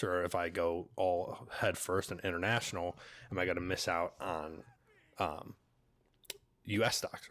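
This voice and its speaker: A man with a cold and a nasal voice, hosting a finance channel in a relaxed, chatty way with many fillers. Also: deep voice, dry recording, studio quality. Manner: relaxed, filler-heavy, conversational. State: congested with a cold. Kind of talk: casual talking-head explainer.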